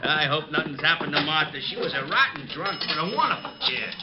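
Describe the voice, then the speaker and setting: An American man playing a slow-witted, talkative bartender in 1940s radio style, blunt and slangy. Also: on the high side, expressive, heavy background noise, close to an everyday voice. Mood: obliging. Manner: blunt, slangy, hesitant, ungrammatical. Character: gruff, slow-witted, talkative male bowling alley bartender. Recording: muffled vintage radio, crowd chatter behind.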